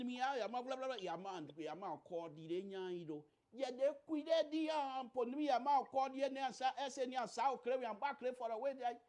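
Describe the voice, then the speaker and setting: Liberian guy, middle aged, pretty high voice, talking politics, emphatic, repeats himself a lot. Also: fairly bright tone. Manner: emphatic, repetitive, halting phrasing.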